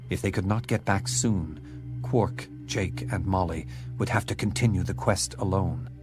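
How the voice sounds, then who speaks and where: Deep-voiced male audiobook narrator. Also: measured pace. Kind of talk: scripted narration. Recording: narration with background music.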